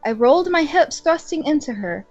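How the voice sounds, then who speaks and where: A woman's voice: high voice, expressive, normal speed, darkish tone, dry recording, highs cut off, faint music behind. A young woman reading fan fiction aloud in a mock-dramatic way and adding sarcastic, giggly comments between passages.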